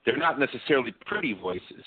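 A man's voice: medium pitch, big pitch swings, brisk, slightly rough, no room sound. A man who sings opera, a guest in a remote interview, explaining at length with frequent ums. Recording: dry tinny call line.

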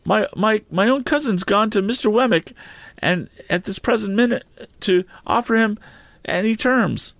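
Breathless pleading woman in a storybook reading, put on by a man, high and stammering. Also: normal speed, vocal fry, fairly bright tone, dry recording. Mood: frantic pleading. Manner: frantic, stammering, repeating herself. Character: excitable pleading woman begging a lawyer.

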